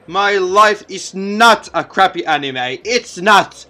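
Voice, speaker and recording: A man voicing an earnest, easily flustered teenage schoolboy, the protagonist of a visual novel, in a halting manner. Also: sits high, expressive, bright, forceful tone, pitched above the performer's own voice, exaggerated voice. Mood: earnest, exasperated.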